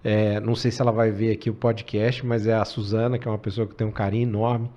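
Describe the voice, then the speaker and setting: A man's voice: lowish voice, quick pace. Easygoing man in his forties, lo-fi. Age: 40s. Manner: relaxed, says cara and né often. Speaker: podcast guest, tech executive. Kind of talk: casual podcast conversation.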